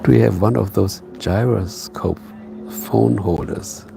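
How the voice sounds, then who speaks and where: Gravelly-voiced man chatting live. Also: fairly low pitch, music in the background. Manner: hesitant, halting, many fillers and restarts. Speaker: live streamer. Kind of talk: casual live stream chat. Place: outdoors. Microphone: phone on a tripod.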